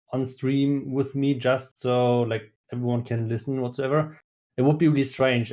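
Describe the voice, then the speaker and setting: A man with a German accent, a native German speaker, explaining his choices in a calm, measured, step-by-step way in casual chat. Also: mid-range voice. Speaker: guest player.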